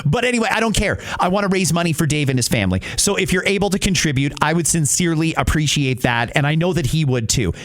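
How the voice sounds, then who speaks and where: A man's voice: a little high, expressive, fast, hardly any pauses, a bit bright. A middle-aged man, a radio-trained podcast host talking casually and directly to listeners in short conversational phrases.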